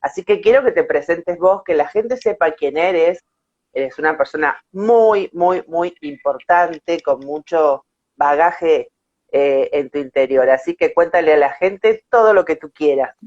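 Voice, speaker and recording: A woman hosts an online interview at a slow pace, in an effusive way, repeating words for emphasis. The audio is a dull, lo-fi internet call. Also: sits low, lively intonation, a little mellow. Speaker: host of an online interview show. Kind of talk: warm personal introduction and interview chat.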